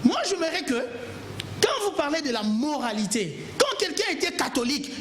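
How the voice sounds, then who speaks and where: A man argues in a heated studio debate, emphatic and pleading by turns. His voice sits high and leaps all over its range. A roomy, echoing studio sound surrounds him. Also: very animated, normal speed, fairly bright tone, a little hiss or hum. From Kongo Central, DR Congo. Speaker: TV debate panelist.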